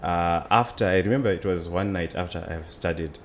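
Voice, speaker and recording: Deep-voiced young Zimbabwean man, faint noise. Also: fairly quick. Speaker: interview guest, student entrepreneur. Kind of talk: conversational storytelling.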